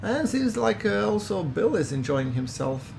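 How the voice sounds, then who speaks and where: Man, mid-pitched, slightly gravelly, soothing and chatty, for casual gameplay voice-over with faint hiss. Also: moderate pace. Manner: relaxed, chatty, self-deprecating asides. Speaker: gameplay commentator. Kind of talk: casual let's-play commentary.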